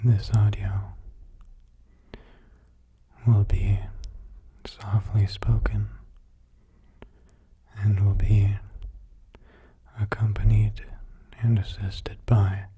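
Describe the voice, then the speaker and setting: A man softly guiding a meditation in a hushed, breathy, mostly whispered ASMR voice, soothing, in short halting phrases. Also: lowish voice, flat delivery, slight background noise. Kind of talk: soft-spoken ASMR guided meditation.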